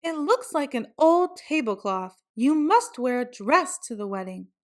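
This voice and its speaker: A woman's voice: sits high, very animated, unhurried, pitched above the performer's own voice. A woman voicing a caring but insistent, worried mother in a children's storybook. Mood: firm, insistent. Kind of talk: children's storybook read-aloud.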